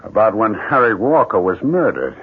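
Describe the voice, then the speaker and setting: A man's voice: lowish voice, very animated, moderate pace, breathy edge, full character voice. An actor playing a paranoid, jealous man turning menacing, with an intense, accusatory manner in a 1970s radio drama. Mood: wary, suspicious. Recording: dull lo-fi 1970s radio recording.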